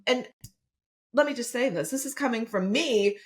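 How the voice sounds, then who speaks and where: A woman's voice: on the high side, moderate pace. A woman in her fifties hosting a solo motivational podcast, chatty and enthusiastic, often asking "right?". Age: 50s. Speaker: podcast host and coach.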